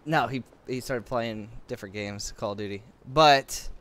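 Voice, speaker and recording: A man's voice: mid-range voice, moderate pace, fairly bright tone. A man with a Philadelphia accent, streaming a game and chatting casually with teammates, joking and swearing when startled. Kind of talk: casual gaming chat.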